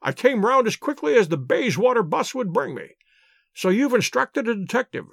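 A man reading an audiobook, voicing a querulous, stingy little old nobleman with a sharp, crackling voice and a quick, intense, scolding manner. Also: extremely high pitch, big pitch swings, vocal fry, no room sound, studio quality, voice pushed up, heavily acted. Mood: irate, miserly. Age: older. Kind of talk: audiobook character voice.

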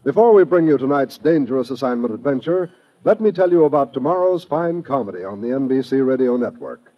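A man's voice: medium pitch, expressive, fairly quick. A man with an American accent making scripted announcements in 1950s network radio style. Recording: muffled old radio recording.

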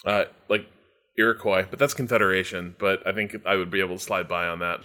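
A man co-hosting a podcast in relaxed, wry casual chat. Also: deep voice, a little fast. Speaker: podcast co-host.

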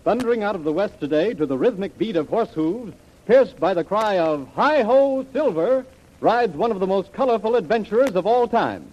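Old radio ad guy, high excited sounding swoops, rousing n theatrical, dull vintage recording. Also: moderate pace. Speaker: advertising announcer. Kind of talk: 1930s radio promotional announcement.

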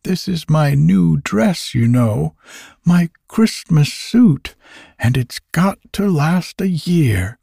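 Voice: mid-range voice, expressive, slow pace, gravelly, mellow, breathy edge, no echo, voice pushed up, exaggerated voice. A narrator voicing a tiny, fussy, boastful male elf with a dry, peevish voice in a storybook reading. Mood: anxious, fretful. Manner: fussy, exclamatory.

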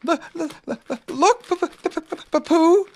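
High, stammering, nervous little piglet. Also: moderate pace, a little mellow. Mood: nervous, fearful. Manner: heavy stammer on first sounds.

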